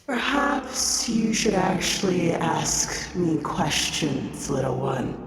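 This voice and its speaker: Audio drama entity, male actor, higher-set voice, unhurried and condescending, ominous collector of souls, echoey. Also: big pitch swings, soft background music, heavily acted. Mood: condescending calm. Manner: condescending, unhurried, articulate, quietly menacing. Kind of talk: scripted audio drama dialogue.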